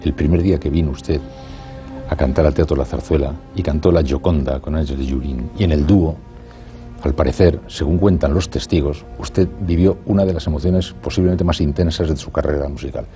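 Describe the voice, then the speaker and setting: A man interviewing a guest in a formal room, asking questions in measured, formal phrasing. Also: rumbling low, dark tone, faint music behind. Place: ornate formal room indoors.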